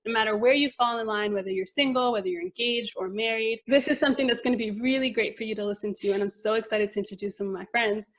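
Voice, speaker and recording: A woman from New Jersey hosting a casual talk show, upbeat and bubbly and quick to laugh. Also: a little high, steady tone. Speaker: host.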